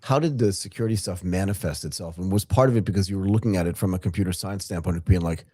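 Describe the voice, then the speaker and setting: A man's voice: sits low, fast, mellow, slightly rough, no room sound, studio quality. A man hosting a podcast interview, joking with his guest and dropping in frequent short interjections. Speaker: podcast host. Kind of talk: casual interview conversation.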